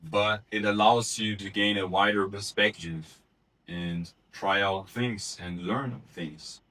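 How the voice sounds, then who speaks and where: A man giving a short unrehearsed practice speech in a measured, deliberate way with occasional fillers. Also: on the low side, steady tone, pushed and bright, rough recording. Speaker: speaker giving a practice talk.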